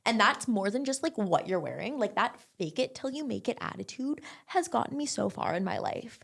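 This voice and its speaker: A young woman, a barre instructor, talking casually as an interview guest, chatty and quick with lots of filler words. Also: on the high side, lively intonation, vocal fry, husky. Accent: English-speaking, non-French.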